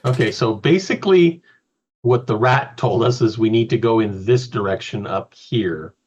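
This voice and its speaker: A man's voice: mid-range voice, normal speed, a little mellow, breathy edge, highs cut off. A man playing in an online tabletop game, joking around and talking strategy with his group. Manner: joking, plays with silly accents. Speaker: player. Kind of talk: casual game chat.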